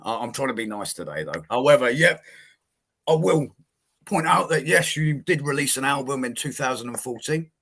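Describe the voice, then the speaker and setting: A man's voice: mid-range voice, lively intonation, normal speed, fairly bright tone. A man hosting a casual music interview with a cheeky, dry, teasing manner. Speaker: show host.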